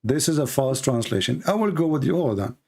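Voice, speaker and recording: A man's voice: mid-range voice, animated, a little fast, vocal fry, a little mellow, husky. A man whose first language is Arabic, hosting a live debate and pressing a caller with repeated questions. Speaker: host.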